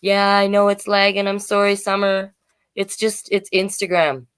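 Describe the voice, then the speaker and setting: A woman's voice: mid-range voice, level tone, moderate pace, smooth. A woman hosting a children's livestream storytime as a drag king, chatting directly to viewers between pages.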